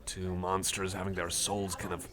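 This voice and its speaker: A man's voice: sits low, monotone, normal speed, noisy background, lightly acted. A man playing a friendly, nerdy cyborg card enthusiast in a casual ASMR roleplay, rambling hesitantly and apologetically. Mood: geeky, enthusiastic. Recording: cafe crowd chatter behind. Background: busy cafe chatter.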